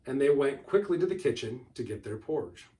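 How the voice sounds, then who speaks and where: A man reading a children's storybook aloud in a deliberate, expressive way, recorded from a distance. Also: mid-range voice. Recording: distant microphone.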